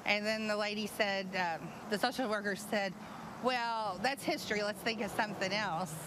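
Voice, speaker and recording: A woman's voice: medium pitch, fairly even intonation, moderate pace, background noise. An older woman interviewed outdoors on a city street, indignantly recounting what hospital staff told her. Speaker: interviewee, patient's mother. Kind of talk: news interview.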